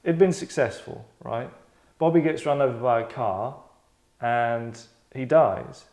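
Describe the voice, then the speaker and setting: Man, medium-pitched voice, sardonic, rambling host, mock-dramatic, casual explaining to a group. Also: expressive, measured pace, a little mellow. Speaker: host directing an actors' table read. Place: London.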